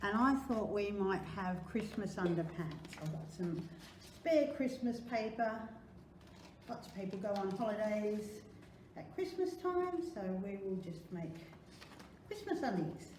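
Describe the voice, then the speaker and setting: Casting: Australian woman, children's craft presenter, singsong and mellow, very varied pitch. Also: very animated, a little slow. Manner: chatty, singsong, encouraging children's-presenter delivery. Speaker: library storytime presenter. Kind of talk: children's craft demonstration, talking through steps. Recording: echoey room, faint background noise.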